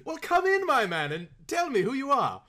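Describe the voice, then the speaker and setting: A man's voice: very high voice, wildly swooping pitch, moderate pace, bright, forceful tone, pitched above the performer's own voice, heavily acted. A man voicing a hearty, good-natured earl of thirty-five in a storybook reading, jovial and pompous. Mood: hearty welcome. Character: hearty, good-natured 35-year-old English earl, carelessly grand.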